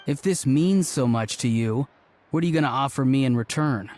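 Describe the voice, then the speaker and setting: A laid-back young man from a video game, voiced by a man with a mid-range voice. He speaks flatly and with little expression, sounding calm and a bit aloof. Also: medium pitch, normal speed, lightly acted. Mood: deadpan. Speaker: game character.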